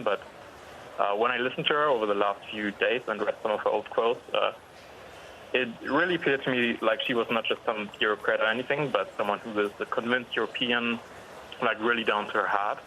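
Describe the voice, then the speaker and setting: Young german guy on the phone, bright voice, polite and a bit hesitant. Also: fairly low pitch, brisk, slight background noise, poor audio. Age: young adult. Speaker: caller.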